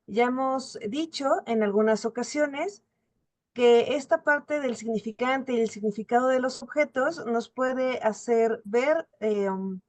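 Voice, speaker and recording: A woman's voice: on the high side, darkish tone. A woman speaking as an academic guest, explaining her analysis in a semi-formal lecture style with small tag questions. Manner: explanatory, often checks with "¿no?".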